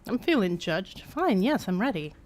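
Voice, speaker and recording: A woman's voice: mid-range voice, very animated, moderate pace, a little mellow, slight background noise. A woman giving casual, playful live commentary as a game streamer, with wry asides.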